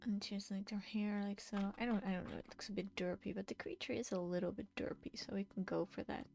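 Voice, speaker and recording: Soft-murmuring woman streamer. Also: medium pitch, fairly even intonation, a little fast, vocal fry. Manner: soft, murmuring to herself, often half-whispered; chatty, reacting to chat with laughs. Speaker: streamer painting live. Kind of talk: casual chat while drawing.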